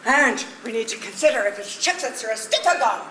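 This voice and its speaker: A woman's voice: fairly high pitch, lively intonation, fairly quick, bright, forceful tone. A woman on stage playing an effusive, exclamatory actress who worships her playwright, in a comedic play. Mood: rapturous. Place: live stage at a one-act play festival. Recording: roomy stage sound with noise.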